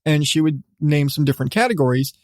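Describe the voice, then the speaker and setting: A middle-aged man hosting a casual solo podcast, talking in a rambling, halting way with repeated restarts. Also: fairly high pitch, animated, a little mellow, no room sound, studio quality. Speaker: podcast host.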